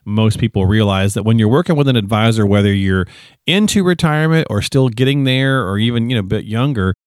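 A man's voice: lowish voice, animated, fairly quick, no echo, studio quality. A man hosting a podcast in a casual, chatty and folksy way, sounding a little sniffly. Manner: chatty, colloquial, folksy phrasing. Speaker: podcast host.

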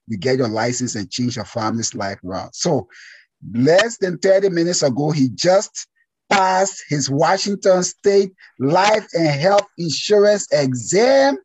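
A man with a husky, slightly high voice hosts as an exam prep teacher and coaxes his guest along in an upbeat tone. The sound is dry and close. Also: animated, unhurried, no echo. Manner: upbeat, coaxing. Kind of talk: encouraging introduction.

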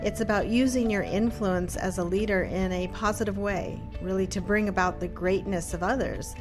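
A woman's voice: mid-range voice, fairly even intonation, moderate pace, music in the background. A woman hosting an interview, introducing her guest in an upbeat way with casual fillers. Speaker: host, institute president.

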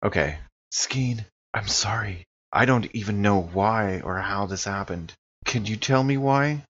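A man's voice: on the low side, a little slow, fairly bright tone, slight background noise. A man playing a tabletop game, talking casually and partly in character, on slightly dull audio.

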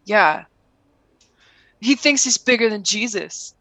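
A woman's voice: medium pitch, lively intonation, slow pace, sharp tone, dry recording, full character voice. A woman playing an envious, disgruntled student who craves heroism, dry and exasperated in an improvised comedy sketch.

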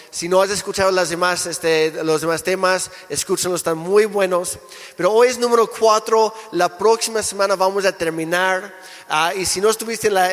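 High-voiced man preaching in an echoey hall. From Canada. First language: English. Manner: relaxed, joking, with restarts. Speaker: pastor. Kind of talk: sermon. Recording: echoey hall with long reverb.

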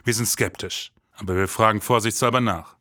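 A man playing a jaded, grumbling detective who narrates in a deadpan, disdainful noir style. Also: lowish voice, brisk, slight background noise.

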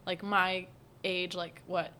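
Casting: young adult woman, lively storyteller who laughs often, casual podcast guest. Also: on the low side, takes it slowly. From United States, Iranian parents. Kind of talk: casual chat.

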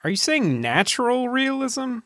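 A man's voice: extremely high pitch, sing-song, unhurried, fairly bright tone, no room sound, studio quality. A man hosting a comedy podcast in casual banter, improvising absurd schemes as he talks. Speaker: podcast host.

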